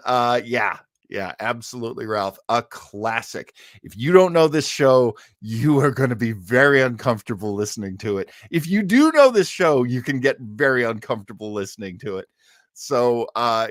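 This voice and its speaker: A man hosting a livestream, chatting casually to viewers in a halting, enthusiastic way. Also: mid-range voice, expressive, dry recording, studio quality. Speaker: livestream host. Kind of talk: casual live chat to viewers.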